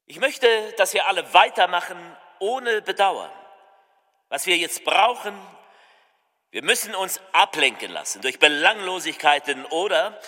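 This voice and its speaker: A solemn political orator in a stage-like spoken drama, with a husky voice that swings widely in pitch. He declaims deliberately, and the sound rings with long hall reverb. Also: animated, pushed and bright, exaggerated voice. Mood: urgent. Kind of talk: theatrical recitation of collaged speeches.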